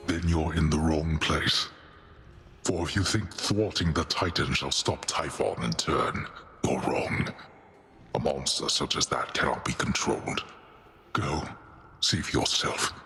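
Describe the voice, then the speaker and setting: A stern father god for a video game. The voice is extremely low with a hard, forceful edge. He talks formally and dismissively, full of scorn. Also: measured pace, full character voice. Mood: scornful, stern.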